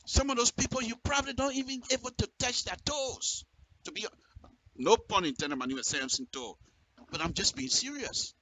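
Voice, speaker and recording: A man's voice: extremely high pitch, normal speed, bright, forceful tone, poor audio. A man with a Liberian accent giving impassioned political commentary in direct address, emphatic and repeating phrases for effect. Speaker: radio commentator.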